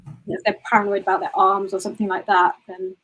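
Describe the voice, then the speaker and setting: A fast-talking woman with a breathy, slightly husky voice. She talks in a loose and chatty way and often says "you know." She sounds like a guest on a video call. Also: mid-range voice, flat delivery, a bit bright, rough recording. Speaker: guest photographer. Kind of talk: casual interview answers.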